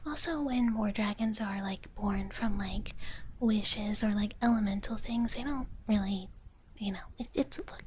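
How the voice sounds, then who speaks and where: A woman voicing a gentle, naive dragon big sister in a soft breathy whisper, rambling hesitantly to viewers in a live ASMR stream. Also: medium pitch, measured pace, a little hiss or hum, close to an everyday voice. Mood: playful, musing. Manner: hushed, refers to herself in third person, hesitant rambling. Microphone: close ASMR microphone.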